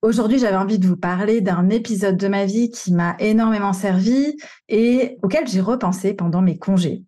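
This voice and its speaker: A woman's voice: medium pitch, moderate pace, warm tone. A woman in her thirties from the Paris region, a coach telling her own career story in a candid, conversational way with some slang. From Paris region, France. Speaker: coach and podcast host. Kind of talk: personal storytelling monologue.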